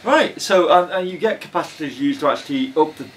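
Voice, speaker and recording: A man rambles through self-mocking stories in a relaxed podcast chat. He sits a little back from the mic, and a faint hum of room noise sits under his voice. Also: on the high side, fairly quick, far from the mic, rough recording. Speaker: podcast co-host. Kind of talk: casual chat.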